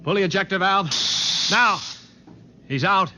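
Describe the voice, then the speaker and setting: A man's voice: high voice, measured pace. An actor playing a cynical, sardonic man who narrates and takes charge, in an articulate 1950s radio acting style. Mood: brisk, urgent. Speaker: lead and narrator. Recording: dull, noisy 1950s radio recording.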